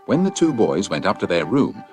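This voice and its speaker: A man narrates a children's story from a script. His voice is deep, with lively rises and falls. Soft music plays behind him. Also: moderate pace. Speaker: audiobook narrator.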